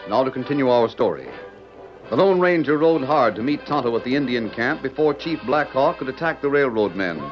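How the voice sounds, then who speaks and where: A man narrates a vintage radio drama over loud music. The recording is muffled, his mid-pitched voice delivering scripted 1940s-style storytelling. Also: animated, fairly quick.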